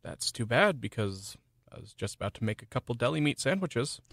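An actress playing an attentive, caring spouse in a radio play, earnest and direct in conversation. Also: female voice, a bass voice, big pitch swings, brisk, no room sound, clean studio sound, exaggerated voice. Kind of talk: radio play acting, domestic dialogue.

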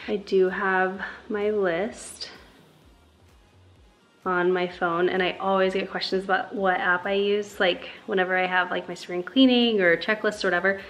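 A woman's voice: mid-range voice, fairly even intonation, unhurried, a bit bright, soft background music. A young woman chatting casually to her handheld camera in her kitchen, full of little fillers as she talks. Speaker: family vlogger. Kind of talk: casual vlog chat. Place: home kitchen, indoors. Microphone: handheld camera at arm's length.

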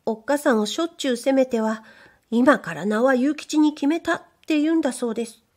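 A woman voicing a shy young maidservant who speaks in a soft, bashful whisper. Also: high voice, fairly quick, voice pushed up.

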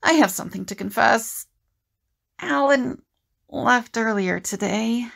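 Woman playing a firm, creaky-voiced team leader. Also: a little high, slow, fairly bright tone, heavily acted. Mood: sorrowful. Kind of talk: audio drama acting.

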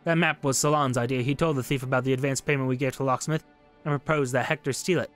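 A nervous male witness, read out by a man gives testimony in a historical game. He is evasive and out for himself, in an everyday mid-pitched voice over faint music. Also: steady tone, fast, lightly acted. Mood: uneasy, confessing. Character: nervous, self-serving male witness at a revolutionary tribunal.

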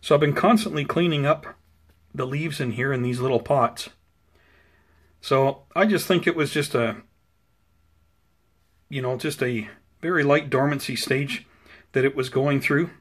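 A man giving a casual, unscripted plant update in an unhurried, explanatory, conversational way, recorded indoors on a handheld camera. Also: medium pitch, husky. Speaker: host of a plant-growing video channel. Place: indoors at home beside potted seedlings.

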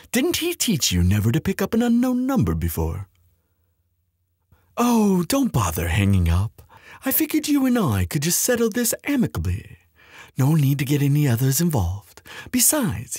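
A man playing a gloating, menacing villain in an audio roleplay, taunting with mock politeness in a husky voice. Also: fairly high pitch, a little mellow, no echo, clean studio sound, heavily acted. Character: gloating, menacing male villain making threats by phone.

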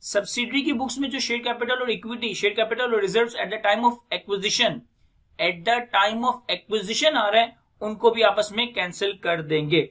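A man with a notably high, bright and forceful voice delivers a lecture in a Pakistani accent. He explains step by step as an accounting teacher, repeating key phrases for emphasis and dropping in English technical terms. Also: a little slow. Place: indoors, recorded screen lecture at a desk.

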